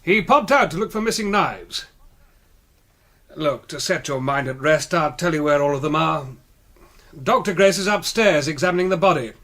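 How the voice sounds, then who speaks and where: An actor playing a suave, faintly menacing English gentleman in a radio drama, polite and drily teasing. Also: a little high, lively intonation, moderate pace. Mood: urbane, teasing. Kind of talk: British radio drama acting.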